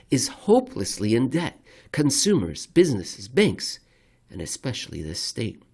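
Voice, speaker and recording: A man narrating a scripted commentary with deliberate, somewhat theatrical phrasing. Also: lowish voice, a little mellow. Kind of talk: scripted reading of economic commentary.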